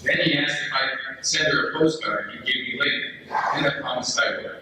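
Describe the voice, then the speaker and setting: A man's voice: mid-range voice, fairly even intonation, moderate pace, close to an everyday voice. A man playing a British soldier who recounts life at the front in a letter, as a readers theater piece. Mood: storytelling. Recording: very echoey room, distant mic, faint noise.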